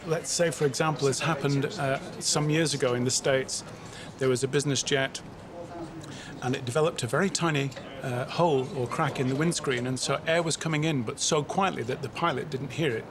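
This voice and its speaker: A man, a former pilot, casually explaining training simulations with relaxed fillers. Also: mid-range voice, fairly quick, a crowd in the background.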